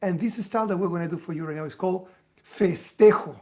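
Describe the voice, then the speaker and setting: A man's voice: high voice, fast talker, a little mellow. A man from Peru, a musician guest patiently explaining music to children over a video call.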